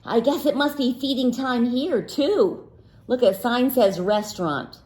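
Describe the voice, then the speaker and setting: A woman's voice: a little high, unhurried, a little mellow, natural voice, rough recording. A woman doing an eager little seal. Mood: curious. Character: small, eager, curious young male seal.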